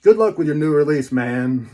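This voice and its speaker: Folksy guy chatting thru an unboxing, voice a bit on the high side, repeats stuff for emphasis. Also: lively intonation, measured pace, dark tone. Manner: folksy, colloquial, with emphatic repetition. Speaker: book reviewer and YouTube host. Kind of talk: casual chatty unboxing monologue.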